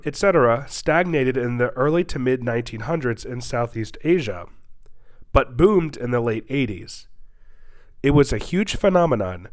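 Young guy host, stuffy nose, rambly with lots of ums, average pitch. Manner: rambling, many restarts and fillers. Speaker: podcast host. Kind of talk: casual chat, reading stories aloud.

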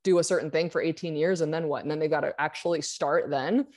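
A woman's voice: deep voice, steady tone, a little mellow. A woman who grew up in Argentina, a guest speaking candidly in a casual interview. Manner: candid, quick, many restarts.

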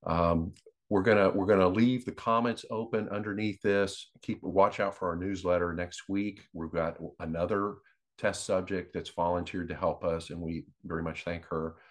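A man co-hosting an advocacy podcast, explaining things unscripted in a measured, matter-of-fact way. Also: sits low, steady tone.

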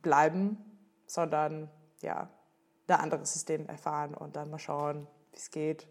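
A young American woman, a native English speaker, answering interview questions in halting German, often pausing to search for words. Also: deep voice, little pitch movement, vocal fry. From United States. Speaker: guest.

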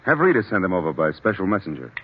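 An actor playing a brisk, exasperated business partner in an old radio drama, insistent and full of sharp questions. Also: male voice, low-pitched, sing-song, slight background noise. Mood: exasperated, concerned. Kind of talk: 1940s radio drama acting. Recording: muffled vintage radio recording.

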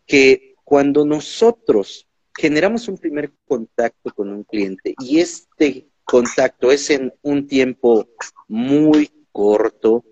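Husky Mexican man hosting a podcast. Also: mid-range voice, animated, slow pace, darkish tone, no echo. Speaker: podcast host. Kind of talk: casual interview chat. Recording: dull lo-fi sound.

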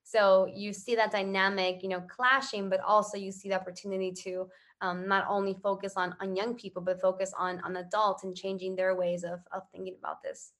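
A woman's voice: mid-range voice, level tone, a little fast, a bit bright. Earnest woman from Ecuador in conversation. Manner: earnest, conversational, often tagging phrases with "right?". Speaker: interview guest, social entrepreneur. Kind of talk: casual interview answers.

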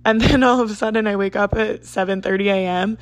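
A woman in her twenties from South Jersey, hosting a podcast in a rambling, chatty monologue, her voice hoarse and raspy. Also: mid-range voice, steady tone, fast, no echo. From South Jersey, United States. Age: 20s. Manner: rambling, lots of "like" and asides. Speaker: podcast host.

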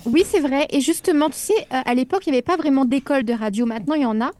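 A woman's voice: high voice, darkish tone. A woman from the Paris suburbs, a radio host, chatting casually on air and jumping in easily. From Paris suburbs, France. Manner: chatty, quick to jump in, conversational. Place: radio studio.

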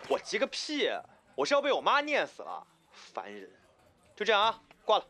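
A man's voice: high voice, wildly swooping pitch, moderate pace, pushed and bright, faint music behind, heavily acted. A man acting in a Chinese audio drama as an irritable, brash teenage older brother, curt and grumbling. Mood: annoyed.

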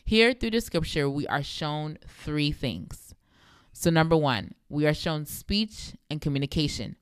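Deep-voiced young woman podcast host. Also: expressive, a little slow, smooth, no echo, studio quality. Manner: emphatic, repeating phrases for effect. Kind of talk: devotional podcast talk.

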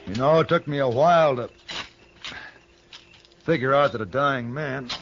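An actor playing a calm, dry-spoken, commanding gunfighter hero in a 1950s radio western, speaking deliberately. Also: male voice, medium pitch, natural voice. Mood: insistent. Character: steady, commanding gunfighter hero of an old West. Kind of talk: 1950s western radio drama acting and narration. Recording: dull, noisy old radio broadcast.

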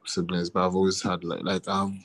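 Low flat-voiced Ghanaian young man. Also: fast talker. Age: 20s. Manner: relaxed, repeats words and says "like" often. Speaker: musician guest. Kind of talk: casual interview chat.

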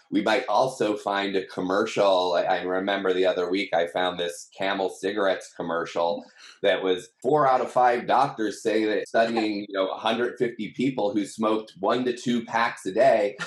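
Low-voiced man in an echoey room. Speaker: team member at an audio startup. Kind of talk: casual explanatory panel talk. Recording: roomy, echoing sound.

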